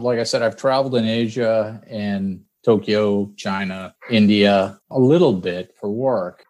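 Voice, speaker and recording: A middle-aged man from New England hosting a podcast in a relaxed, folksy, encouraging way. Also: lowish voice. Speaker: podcast host. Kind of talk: podcast monologue and interview.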